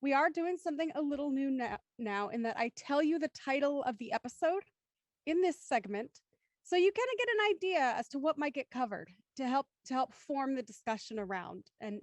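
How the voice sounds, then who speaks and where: A woman's voice: fairly high pitch, no echo. A woman hosting a panel, explaining the format and handing over to each guest. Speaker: host. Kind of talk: moderating a panel, introducing guests.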